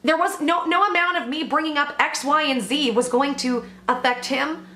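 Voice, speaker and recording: A woman hosting a commentary vlog update, blunt, profane and emphatic, with a loud voice that sounds worn from overuse. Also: high voice, moderate pace. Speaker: commentary channel host. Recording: echoey room.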